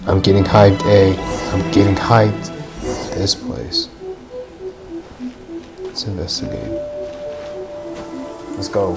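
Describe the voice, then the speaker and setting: Very low-pitched woman doing gaming commentary. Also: fairly even intonation, slow, long pauses, rough recording. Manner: informal, reactive, repeats phrases. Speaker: gaming streamer. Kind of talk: casual let's play commentary. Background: game music.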